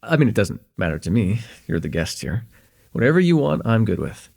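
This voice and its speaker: Man acting as a gravelly, easygoing young guy chatting. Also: fairly low pitch, very animated, a little fast, a little mellow, heavily acted. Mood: easygoing, welcoming. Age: young adult. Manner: hesitant, rambling, chuckling, easygoing. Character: friendly, forgetful young man hosting a close friend at home. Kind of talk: slice-of-life ASMR roleplay, casual intimate chat to listener.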